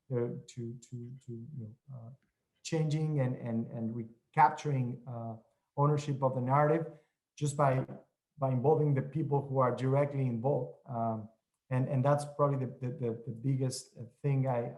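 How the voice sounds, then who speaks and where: A man with a Mexican accent, a journalist on an online panel, speaking in halting sentences full of restarts. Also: mid-range voice, steady tone, dark tone, rough recording. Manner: halting, many restarts and repeated words.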